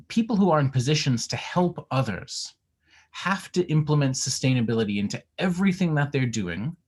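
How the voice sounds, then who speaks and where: A man's voice: mid-range voice, breathy edge. A man heading an environmental group, answering an audience question on a video call with earnest, filler-heavy speech. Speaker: organization head presenting.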